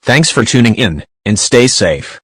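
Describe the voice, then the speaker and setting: A man's voice: medium pitch, sing-song, unhurried, breathy edge, dry recording, studio quality. A man playing an earnest radio announcer, reading a scripted storm safety update in a plain, orderly way.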